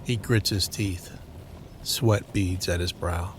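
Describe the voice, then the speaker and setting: A man's voice: low voice, fairly even intonation, takes it slowly, heavy background noise. A man reading scripted dramatic narration for an audio drama. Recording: dramatic music under the voice. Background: music.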